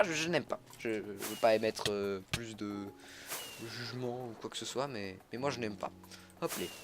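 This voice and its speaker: A young man from Alsace, chatting casually while streaming a game, greeting viewers and correcting himself mid-sentence. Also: medium pitch. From Alsace, France. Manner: chatty, self-interrupting, greets chat viewers. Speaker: livestreamer. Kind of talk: casual gameplay livestream commentary. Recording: music playing behind the voice.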